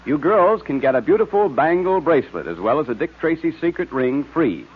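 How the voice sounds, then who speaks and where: A man announcing and pitching for a sponsor on 1940s radio in a hearty, emphatic way. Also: sing-song, a little fast, husky. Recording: muffled vintage radio recording.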